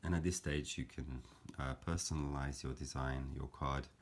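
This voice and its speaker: A man calmly explaining a paper craft step by step, in a gentle, measured way with frequent fillers. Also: a bass voice. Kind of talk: calm step-by-step craft tutorial.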